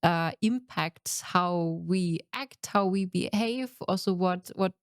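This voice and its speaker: A woman's voice: on the low side, slow pace, clean tone, dry recording, clean studio sound. A woman from Germany with a German accent, a podcast guest chatting in a reflective, easygoing way and laughing now and then. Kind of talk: casual interview.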